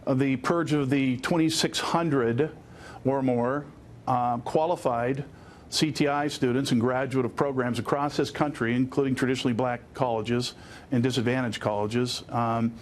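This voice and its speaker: A man in his fifties with an American accent, explaining as a guest in a live news interview, with frequent pauses. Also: mid-range voice, slight background noise. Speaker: interview guest, aviation attorney.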